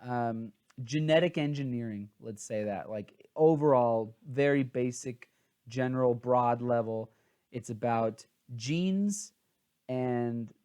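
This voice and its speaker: A man's voice: mid-range voice, warm tone, smooth. A man chatting casually as he hosts a book review, informal and a bit scattered and hesitant, sounding tired.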